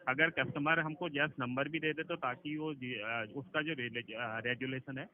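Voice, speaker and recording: A man's voice: mid-range voice, fairly even intonation, unhurried, slight background noise. A man speaking as a call-centre team leader on a recorded phone call, formal, deferential and defensive. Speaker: loan recovery team leader. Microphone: telephone.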